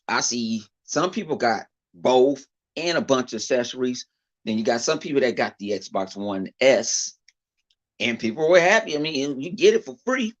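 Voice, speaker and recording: Man with a big voice, rambling rant. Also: mid-range voice. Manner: rambling, profane, full of fillers and repeats. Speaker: gaming YouTuber. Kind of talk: casual off-the-cuff vlog rant. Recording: dull lo-fi recording.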